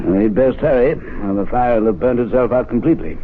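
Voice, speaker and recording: An actor playing a clipped, upper-class gentleman who presides over a men's club in a radio drama. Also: mid-range voice, fairly quick, slight background noise, close to an everyday voice. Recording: tinny old radio broadcast.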